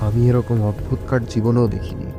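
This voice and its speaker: Man acting a fast-talking, agitated desperate man. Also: mid-range voice, flat delivery, close to an everyday voice. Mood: puzzled, sullen. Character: despairing, irritable man drawn into a deadly game. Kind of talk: cartoon thriller voice acting. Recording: music behind the voice.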